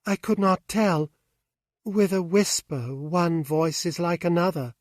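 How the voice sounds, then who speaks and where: A man's voice: sits high, measured pace, no echo, clean studio sound. A man voicing a frightened, distraught young woman in an audiobook, anguished and pleading. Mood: tearful.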